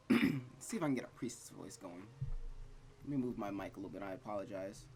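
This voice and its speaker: A man hosting a visual novel playthrough, chatting casually with animated, wry reactions to what he reads. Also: fairly low pitch, fairly quick, a little hiss or hum. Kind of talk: casual chatty let's play commentary, reading game text aloud. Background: game music, looping rain.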